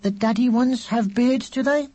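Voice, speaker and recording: A narrator voicing a silly, chatty little boy who asks endless questions in a slow, innocent, drawn-out way in a children's story. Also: mid-range voice, mellow, voice pushed up, natural voice. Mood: cheeky, playful.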